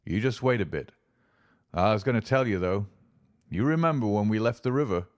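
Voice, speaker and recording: Narrator voicing a worn-out frontiersman, low rough drawl, soft and patient. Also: expressive, no room sound, clean studio sound, close to an everyday voice. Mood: gentle. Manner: rough frontier dialect, tender and slow. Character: weathered frontier man, gentle and resigned.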